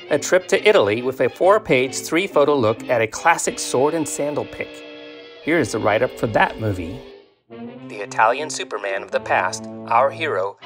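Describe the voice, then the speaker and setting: A man with a mid-pitched voice presents a scripted film-magazine segment. His delivery is theatrical and tongue-in-cheek, with rhetorical questions set up for the listener. Guitar music plays clearly underneath. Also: a bit bright. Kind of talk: scripted magazine-review narration.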